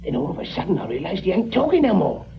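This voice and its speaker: A rapid, chatty Cockney small-time crook in an old radio play. He is blunt, slangy and shifty, on a dull vintage recording. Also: sits high, huge pitch swings, vocal fry, faint music behind, full character voice. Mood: breezy, blunt. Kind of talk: 1950s radio drama acting.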